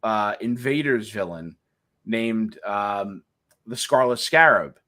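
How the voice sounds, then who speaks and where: A middle-aged man co-hosting a casual live chat, talking in a halting way with many restarts. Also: on the low side, animated, pushed and bright. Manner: halting, many restarts and fillers, laughs easily. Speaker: co-host.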